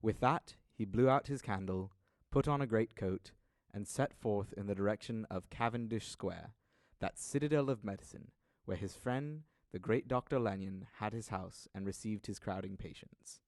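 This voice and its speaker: Young guy narrating an old gothic story, lowish voice, very dry recording, formal n dramatic. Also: normal speed, no echo. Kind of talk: scripted literary narration, audio drama.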